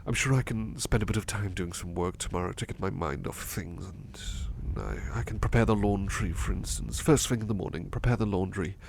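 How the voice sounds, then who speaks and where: A man playing a devoted, anxious butler with a haunted past in an intimate ASMR roleplay, speaking softly and haltingly with stammers. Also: low voice, vocal fry, slight background noise. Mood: flustered, dutiful. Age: middle-aged or younger adult. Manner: hesitant, stammering, soft-spoken, drifting into whispers.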